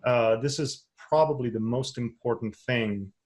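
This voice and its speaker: A man's voice: mid-range voice, a little slow. A man, not a native English speaker, teaching a webinar lecture in a conversational way with frequent restarts and repeated words. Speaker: course instructor.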